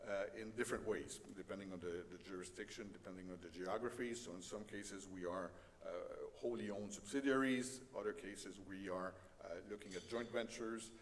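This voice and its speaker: A middle-aged man with a French Canadian accent gives a conference talk in a fairly low voice. He pauses often on fillers and jokes at his own expense. The sound carries a long hall reverb with faint background noise. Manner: halting, many fillers, light self-deprecating humor. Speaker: conference speaker. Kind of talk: conference presentation.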